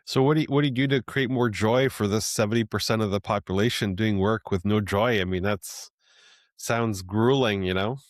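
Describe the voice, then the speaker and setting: A man with a very deep voice hosts a relaxed interview. He muses aloud in a loose, easygoing way and often checks in with "right?". The sound is close and dry. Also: normal speed, dry recording. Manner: easygoing, with many fillers and restarts, ending lines with "right?". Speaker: podcast host. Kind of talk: casual interview conversation.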